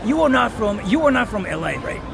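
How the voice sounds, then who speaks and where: High-voiced man livestreaming on a noisy street. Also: sing-song, fast, gravelly, crowd noise. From Japan. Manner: jokey, slangy. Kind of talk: casual street livestream chat. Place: outdoors on a Tokyo street. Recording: noisy crowded city street.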